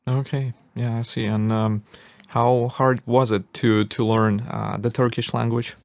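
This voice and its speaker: A man's voice: mid-range voice, flat delivery, a little slow, creaky, dry recording, clean studio sound. A man hosting a podcast, asking questions in a casual way, searching for words with many fillers. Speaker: podcast host.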